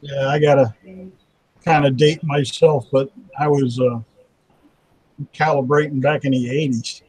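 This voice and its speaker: A man's voice: mid-range voice, steady tone, unhurried, a little mellow, breathy edge, poor audio. Stammering man in his fifties. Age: 50s. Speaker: guest. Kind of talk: casual group chat.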